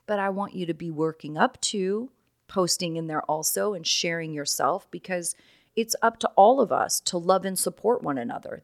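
A woman with a naturally raspy voice, hoarse right now, talking casually and earnestly as a solo podcast host. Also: fairly low pitch, no echo, studio quality. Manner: encouraging, earnest, many "right?" asides.

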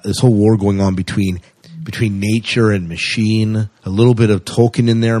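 A man's voice: low-pitched, darkish tone, slightly rough, no room sound. A man co-hosting a podcast, chatting casually and enthusiastically about science fiction news. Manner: chatty, enthusiastic, asks his co-host questions. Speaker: podcast co-host. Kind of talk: casual sci-fi news chat.

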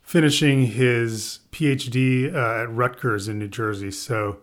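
A man who grew up in the Midwest, a winemaker talking as a podcast guest in a relaxed, rambling way. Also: mid-range voice, a little slow. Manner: relaxed, rambling, with fillers and laughs. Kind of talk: casual interview chat.

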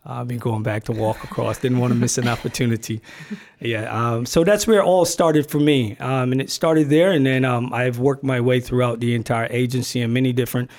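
A middle-aged man from Barbados, talking on a podcast in a relaxed, hesitant way with many fillers and restarts. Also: medium pitch, brisk. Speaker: agency communications staffer, podcast guest. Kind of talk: casual podcast chat.

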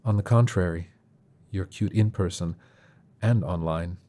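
A gentle, soothing man, as a male audiobook reader plays him. The voice is low, soft and dark, with an earnest, unhurried manner that stays composed. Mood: calm, friendly.

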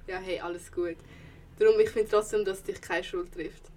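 A woman's voice: medium pitch, fairly even intonation, moderate pace, warm tone, natural voice. A young woman playing a reassuring, plain-spoken friend in an audio drama. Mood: frank, supportive. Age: young adult. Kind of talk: amateur audio drama dialogue. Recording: faint ambient noise behind.

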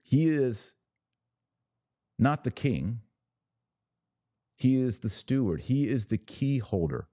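A man's voice: medium pitch, dark tone, no room sound, clean studio sound. A man hosting a religious commentary podcast, speaking to listeners in an emphatic, didactic way. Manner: emphatic, didactic, explaining by analogy.

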